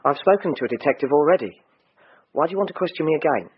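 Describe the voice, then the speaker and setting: An actor playing an earnest, pedantic railway enthusiast in a radio drama, a man with a breathy voice and a slightly anxious manner. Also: on the high side, lively intonation, fast, dull audio, a little hiss or hum, lightly acted. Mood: defensive, precise. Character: fussy, literal-minded, earnest male railway enthusiast.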